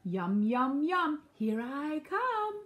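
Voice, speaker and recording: A woman voicing a greedy, blustering male storybook wolf who bellows drawn-out threats. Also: high voice, big pitch swings, fairly bright tone, pitched above the performer's own voice, full character voice. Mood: gloating.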